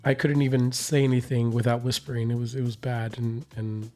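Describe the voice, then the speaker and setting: Hoarse male vlogger, mid pitch, rambling casual recap, faint music underneath. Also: flat delivery, a little fast, warm tone. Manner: rambling, many fillers and restarts. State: hoarse, recovering voice. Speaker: reaction channel host.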